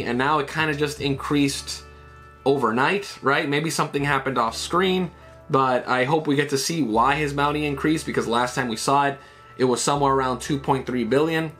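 A man's voice: medium pitch, a bit bright. A man in his thirties talking through a comic chapter in a loose, casual way, with many fillers and "right?" tags, recorded indoors at home on a webcam setup. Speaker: manga reviewer. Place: small room at home, indoors.

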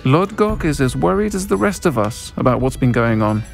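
A man's voice: mid-range voice, sing-song, normal speed, vocal fry, faint music behind. An actor voicing a friendly, middle-aged witch who keeps a tavern in a video game, speaking frankly and conversationally. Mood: frank, earnest.

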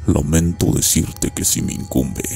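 A man acts an arrogant, menacing nobleman in a period drama. His voice is extremely deep and gravelly, with stiff, archaic courtesy. Faint music runs behind him. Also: fairly even intonation, a little slow, mellow, full character voice. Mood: scornful, threatening. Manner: formal archaic speech, condescending. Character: haughty, menacing noble marquis, formal older man. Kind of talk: historical audio drama.

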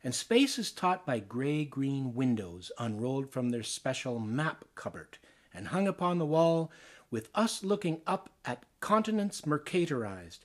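Slow, measured Canadian man reading poetry. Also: mid-range voice, sing-song. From Ontario, Canada. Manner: deliberate, theatrical phrasing with pauses. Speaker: actor reading poems aloud. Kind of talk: expressive dramatic poetry reading.

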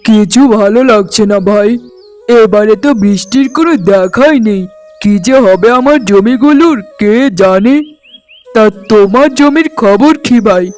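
A man voicing a gentle young village farmer and devoted husband in a cartoon, mild and folksy. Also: high voice, a little slow. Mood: worried. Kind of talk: cartoon voice acting. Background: music.

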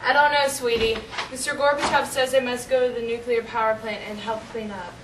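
A woman's voice: on the high side, fairly even intonation, fairly quick, lightly acted. A young teenage girl playing a worried, tender mother in an amateur school drama. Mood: distressed. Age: young teen. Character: worried, tender mother waiting for her husband. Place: small meeting room. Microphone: far camera. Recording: roomy, distant camera mic, faint noise.

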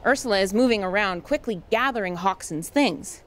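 A woman's voice: medium pitch, animated, fairly quick. A woman host giving scripted dramatic narration of a story.

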